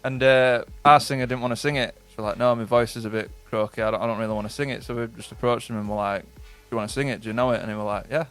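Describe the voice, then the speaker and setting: A man's voice: mid-range voice, fairly even intonation, very fast, few pauses, faint music behind. A man, a band member telling the story of the group in an easygoing way. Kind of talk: casual storytelling in interview. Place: radio studio.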